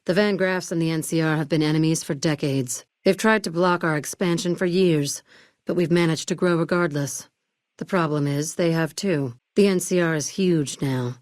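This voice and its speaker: An actress playing a cool, ruthless businesswoman in a video game, speaking in a curt, measured, condescending way. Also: sits low, darkish tone, no echo, clean studio sound, natural voice. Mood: measured, disdainful. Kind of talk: video game character dialogue.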